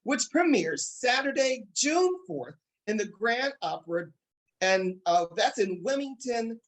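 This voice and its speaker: A man hosting a talk show in an upbeat, excited and emphatic way, addressing his audience directly. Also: extremely high pitch, measured pace, poor audio.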